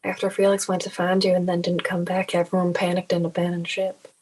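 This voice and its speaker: A woman's voice: lowish voice, completely flat delivery, brisk, a little mellow, breathy edge. A woman playing a stern, aggrieved man from a video game world in a script read, curt and cold. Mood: cold, resigned. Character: stern, resigned, aggrieved male game resident. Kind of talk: animated film script table read.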